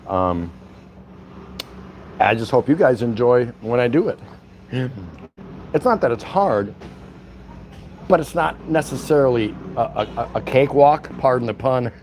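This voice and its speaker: A man's voice: mid-range voice, expressive, measured pace, long pauses, a little mellow. A man chatting casually to his viewers in a rambling, folksy, slangy way as he vlogs on a walk. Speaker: vlogger livestreaming a walk. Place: on a walk around a city, stopping at a convenience store. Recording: street and vehicle noise behind. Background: traffic and ambient noise.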